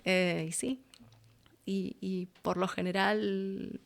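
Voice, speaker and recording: A woman's voice: mid-range voice, fairly even intonation, slow pace, creaky. A woman with an Argentine accent speaking as an interview guest, casual and reflective, acting out little quotes as she explains.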